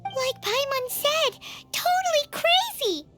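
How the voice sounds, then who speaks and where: A woman voicing a tiny, childlike fairy companion in a video game, excitable, blunt and cheeky. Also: takes it slowly, over music, full character voice. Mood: alarmed, cheeky. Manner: squeaky, refers to self in third person. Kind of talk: cartoonish video game voice acting.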